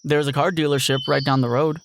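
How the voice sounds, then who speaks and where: An actor playing a curious, skeptical brother in an audio drama, speaking in casual, short prompts. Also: male voice, fairly high pitch, level tone, fast talker, a little hiss or hum, close to an everyday voice.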